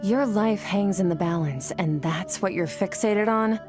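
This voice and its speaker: A woman's voice: medium pitch, a little slow, over music, natural voice. A woman voicing an aloof, cold young woman warrior who speaks in a cool, detached and clipped way. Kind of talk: game voice acting.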